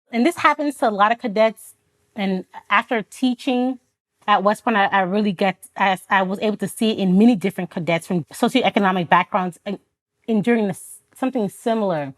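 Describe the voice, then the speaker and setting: A woman's voice: mid-range voice, moderate pace. Earnest woman telling her story. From Florida, United States. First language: Haitian Creole. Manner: retells events with quoted dialogue, laughs often. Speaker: podcast guest, Army officer. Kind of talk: personal storytelling in an interview.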